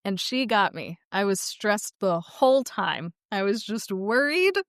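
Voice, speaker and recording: A woman's voice: mid-range voice, lively intonation, unhurried, a bit bright, dry recording, clean studio sound. Candid woman podcast co-host, emphatic. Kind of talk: casual chat.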